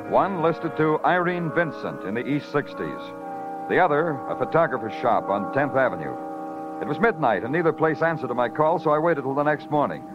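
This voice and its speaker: An American man playing a wry hard-boiled New York police detective in a 1950s radio crime drama, deadpan and terse. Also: medium pitch, lightly acted. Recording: muffled old radio recording with music.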